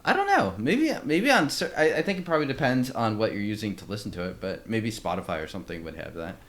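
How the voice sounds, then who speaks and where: A man chatting casually as co-host of a film podcast. His voice sits fairly low but moves around a lot in pitch. He speaks informally and hesitantly, working out his opinion as he goes. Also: sing-song, quick pace, fairly bright tone.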